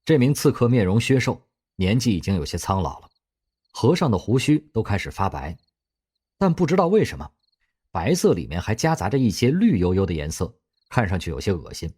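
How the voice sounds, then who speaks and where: A man narrating an audio drama in an expressive storytelling manner. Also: on the low side, fairly quick, slightly rough.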